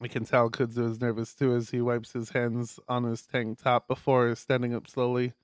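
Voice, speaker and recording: A man streaming a visual novel, reading it aloud as expressive narration with casual asides. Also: medium pitch, normal speed, clear, resonant tone, no echo, studio quality.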